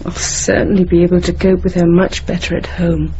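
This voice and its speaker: An actress playing a subdued, determined adult daughter, talking quietly and earnestly in a radio drama. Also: female voice, fairly low pitch, little pitch movement, fairly quick, lightly acted. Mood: weary, earnest. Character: weary, determined adult daughter worried for her mother. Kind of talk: naturalistic radio drama acting. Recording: muffled, noisy old radio broadcast.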